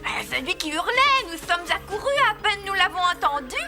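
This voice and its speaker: A woman voicing an anxious, excitable little animal rescuer in a cartoon, urgent in manner. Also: very high voice, fairly quick, full character voice. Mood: worried. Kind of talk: cartoon dub acting. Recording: music under the dialogue.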